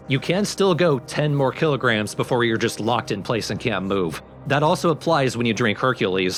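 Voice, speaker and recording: A man's voice: medium pitch, a bit bright. A man hosting a let's play with casual, explanatory game commentary.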